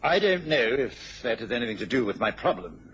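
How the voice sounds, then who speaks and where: An actor playing a worried, dignified British government official in a radio drama, formal and hesitant. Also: male voice, mid-range voice, big pitch swings, brisk, fairly bright tone, dull audio. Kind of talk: mid-century radio drama acting. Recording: noisy old radio recording.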